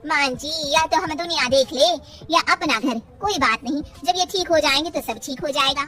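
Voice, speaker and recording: A woman's voice: high voice, normal speed, recorded from a distance, over loud music, close to an everyday voice. A woman voicing a young village daughter-in-law who speaks respectfully and earnestly.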